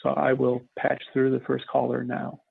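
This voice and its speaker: A man's voice: medium pitch, completely flat delivery, moderate pace, vocal fry, husky. An American man moderating phone callers at a public meeting, talking in polite procedural language without a script. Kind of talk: polite procedural talk, unscripted. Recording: thin phone-like audio.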